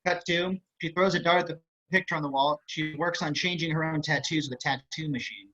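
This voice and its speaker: A man's voice: a little high, steady tone, fairly quick, pushed and bright. A man reading stage and screen directions aloud as scripted narration. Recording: muffled online call audio.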